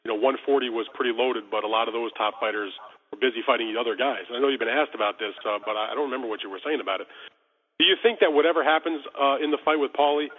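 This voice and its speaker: A wordy man asks interview questions as a sports reporter, talking quickly. His voice is slightly rough and mid-pitched, heard through a narrow telephone connection with long reverb. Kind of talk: asking long interview questions on a conference call. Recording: phone conference line, tinny and reverberant.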